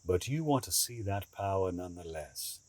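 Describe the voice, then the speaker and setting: An actor playing a cunning, calmly menacing escaped asylum inmate in an audio drama, deliberate, theatrical and condescending, with a husky voice. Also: male voice, low-pitched, dry recording. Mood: fervent, grave.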